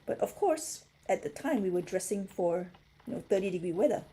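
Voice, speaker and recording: A woman's voice: mid-range voice, animated, brisk, mellow, breathy edge. A middle-aged woman from Singapore hosting a puzzle livestream, chatting casually and answering comments from viewers.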